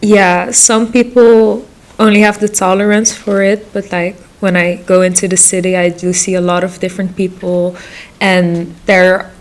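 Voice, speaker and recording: A nineteen-year-old woman speaking in a measured, thoughtful way as a guest on a casual podcast. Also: mid-range voice, level tone. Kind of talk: casual group discussion.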